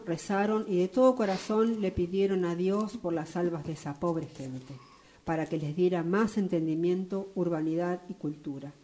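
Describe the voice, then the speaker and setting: A woman's voice: on the low side, dark tone. A woman reading scripted narration for a radio drama.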